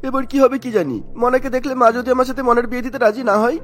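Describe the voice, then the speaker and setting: An eager, earnest grown son from a cartoon , acted by a man. He talks extremely fast in a high voice, coaxing as he goes, with faint music behind. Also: fairly even intonation. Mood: anxious. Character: eager, dutiful grown son planning his wedding. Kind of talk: cartoon story voice acting.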